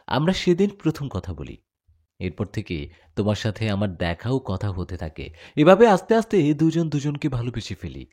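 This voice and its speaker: Devoted warrior jinn acted by a male storyteller, earnest and confessional, mid-range, very expressive melody. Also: mid-range voice, moderate pace. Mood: tender, nostalgic.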